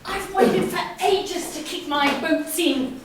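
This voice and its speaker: A woman's voice: very high-pitched, slow. A woman acting in a stage drama as a brazen, seductive wife with a mocking, provocative manner. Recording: very echoey room, distant mic, noisy.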